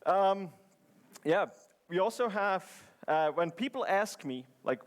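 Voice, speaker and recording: A man's voice: high voice, lively intonation, takes it slowly. Chatty man giving a conference talk. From Switzerland. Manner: chatty and joking, with many fillers and restarts. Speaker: conference speaker. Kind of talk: conference presentation. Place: conference hall.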